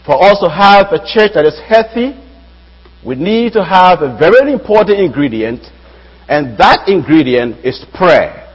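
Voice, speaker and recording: A young man from Liberia, a Bible student, preaching a sermon in a church with measured delivery and rising emphasis on key phrases. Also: a little high, very animated, husky. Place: church sanctuary. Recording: dull lo-fi sound with long hall reverb.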